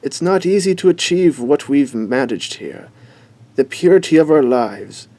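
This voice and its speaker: Man playing a pompous, mellow-voiced family patriarch. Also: medium pitch, animated, dry recording. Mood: smug, formal. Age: middle-aged. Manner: formal, measured, pompous. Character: controlling, smug, wealthy family patriarch. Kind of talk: audio drama acting.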